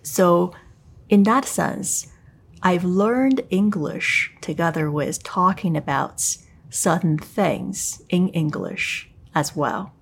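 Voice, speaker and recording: A woman whose first language is Chinese, speaking English in a thoughtful academic conversation, pausing to search for words. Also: on the low side, vocal fry, breathy edge. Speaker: tutor.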